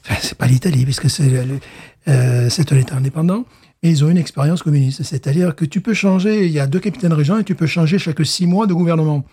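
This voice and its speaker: A man from southern France co-hosting a casual podcast, joking around and tossing in quick asides. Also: a little high, dark tone, breathy edge. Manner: jokey, quick asides, playful accent imitations. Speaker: podcast co-host. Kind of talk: casual chat.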